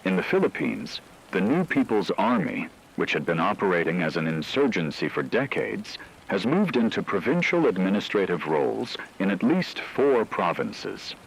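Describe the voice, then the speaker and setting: A man playing a grave radio newsreader in a fictional broadcast, reading scripted reports in a formal, measured, solemn way. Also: fairly low pitch, big pitch swings, vocal fry, a little hiss or hum, rough recording. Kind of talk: scripted news narration.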